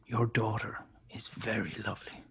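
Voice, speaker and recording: A menacing male kidnapper acted by a man in a drama table read, very breathy and raspy, drawing out every word. He is mock-courteous and taunting, with sinister calm. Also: lowish voice, heavily acted. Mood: creepy, taunting.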